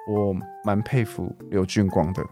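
A man with a Taiwanese Mandarin accent, an actor speaking reflectively to listeners in a measured, thoughtful way. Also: lowish voice, fairly even intonation, warm tone, faint music behind. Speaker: actor, guest sharing thoughts on a role. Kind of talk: reflective promotional message to listeners.

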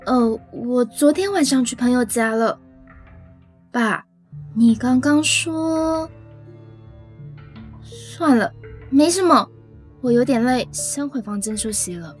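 Radio drama daughter: young, tired, evasive, hesitant and slow, high-pitched. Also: female voice, soft background music. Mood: hesitant, weary. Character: weary, evasive young adult daughter hiding her troubles.

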